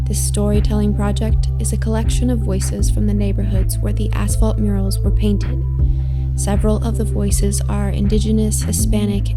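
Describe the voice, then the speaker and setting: Woman reading a scripted intro, flat steady delivery, music playing clearly underneath. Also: medium pitch. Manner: even, measured announcing. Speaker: program narrator. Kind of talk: scripted narration.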